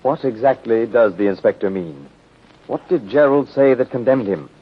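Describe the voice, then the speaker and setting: A man's voice: mid-range voice, expressive, normal speed, breathy edge. A man announcing a 1930s radio mystery serial in the period style. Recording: muffled, noisy vintage radio recording.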